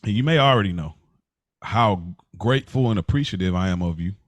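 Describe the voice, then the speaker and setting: Earnest low-voiced American man co-hosting. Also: lively intonation, brisk, no room sound. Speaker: podcast co-host. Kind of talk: casual chat.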